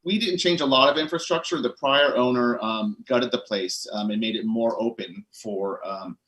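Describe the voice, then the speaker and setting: Relaxed man telling his story. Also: medium pitch, normal speed. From Connecticut. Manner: relaxed, storytelling, frequent fillers. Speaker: bookstore owner guest. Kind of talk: casual interview chat.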